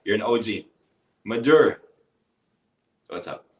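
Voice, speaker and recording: Guy hosting a speaking workshop, kinda low voice, echoey, a bit far from the mic, keeps calling folks bro. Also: animated, slow pace. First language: not English. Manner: calls participants brother and bro, upbeat coaching. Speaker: workshop host and speaking coach. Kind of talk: live online workshop, casual and encouraging.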